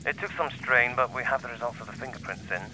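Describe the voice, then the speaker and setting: A man playing a hesitant, apologetic forensic officer in an amateur radio play, speaking haltingly. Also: medium pitch, bright, forceful tone, a little hiss or hum, rough recording.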